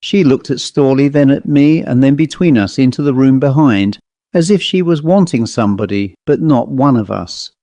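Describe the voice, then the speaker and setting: A man narrating a ghost story as an audiobook, with a measured, literary delivery. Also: medium pitch, warm tone, no room sound.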